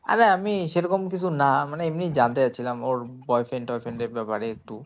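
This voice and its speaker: A young adult man talks casually, as if to a friend on a call. His pitch is middling, and he tends to hesitate and ramble as he goes. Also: expressive, quick pace, slight background noise. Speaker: prank caller. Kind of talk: casual phone chat.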